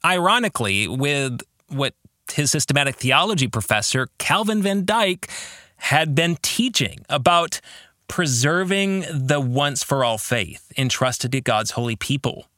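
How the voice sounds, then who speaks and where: A man's voice: mid-range voice, lively intonation, unhurried, pushed and bright, no echo, studio quality. A man hosting a reflective faith book discussion, earnest, putting questions to the listener. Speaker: podcast host and book author leading a study.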